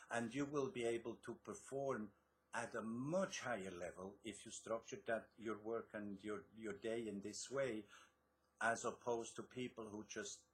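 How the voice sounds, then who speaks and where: A middle-aged man from Switzerland with a Swiss accent, a guest author explaining his methods in an interview, with frequent restarts. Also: fairly low pitch. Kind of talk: interview answers.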